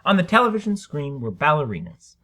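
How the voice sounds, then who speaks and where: A man's voice: on the high side, huge pitch swings, brisk, sharp tone. A man reading a short story aloud as dramatic scripted narration. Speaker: story reader.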